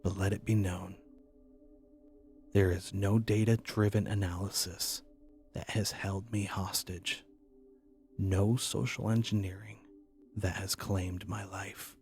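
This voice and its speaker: A man playing a defiant, doomed man delivering a solemn, deliberate final testament in an audio drama. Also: low voice, flat delivery, vocal fry, darkish tone, soft background music, full character voice. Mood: resolute, bleak.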